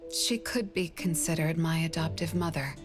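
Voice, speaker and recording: A woman voicing a composed, guarded young woman in an anime-style game, serious and measured. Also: low-pitched. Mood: regretful, subdued. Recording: faint music behind the voice.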